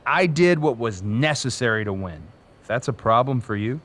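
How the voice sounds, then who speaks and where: An actor playing a competitive, self-assured wrestler with an assertive, earnest manner in a video game cutscene. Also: male voice, medium pitch, lively intonation, moderate pace, a bit bright. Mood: irritated.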